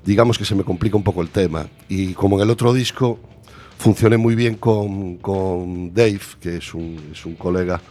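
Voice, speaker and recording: Very deep-voiced Galician man, hesitant. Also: normal speed, darkish tone. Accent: Galician Spanish. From Galicia, Spain. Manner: hesitant, self-deprecating, searching for words. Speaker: musician guest. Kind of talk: casual interview chat.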